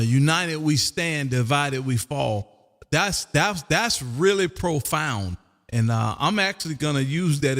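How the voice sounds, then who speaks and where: A man's voice: mid-range voice, a bit bright. An older man of about sixty hosting an amateur radio stream, rambling casually and folksily, often tagging sentences with "man". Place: home radio room. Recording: long echoing room reverb.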